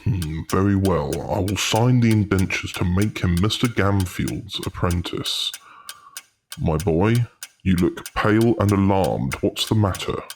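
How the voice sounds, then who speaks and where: A man playing a stern, formal older gentleman of a workhouse board in a period audio drama, speaking with authority. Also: low voice, measured pace, slight background noise. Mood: measured, businesslike.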